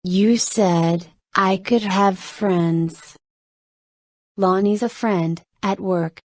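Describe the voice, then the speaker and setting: A woman's voice: mid-range voice, steady tone, very slow, slight background noise. A woman acting in an audio play as a skeptical, exasperated girlfriend who talks in a plain, challenging way. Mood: pleading, defensive.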